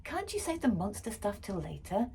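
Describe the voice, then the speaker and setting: A woman's voice: mid-range voice, very animated, normal speed, gravelly, dark tone, slightly rough, exaggerated voice. A weary storybook father, read by a woman. He grumbles and mutters, complaining and pleading. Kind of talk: children's storybook character voice.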